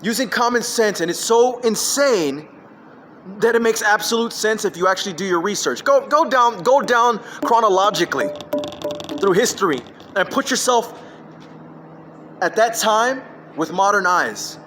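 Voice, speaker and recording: A man with a high-pitched voice delivers a rambling solo rant into his phone. He leaps between topics with emphatic, scattered energy. A faint hum of noise sits behind him. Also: expressive, normal speed, a bit bright. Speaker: vlogger.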